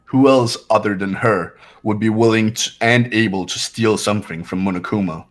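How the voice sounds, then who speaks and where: A man's voice: fairly low pitch, normal speed, faint music behind, lightly acted. A man voicing a haughty, cold young man in a fan-voiced game, with a disdainful, pompous delivery.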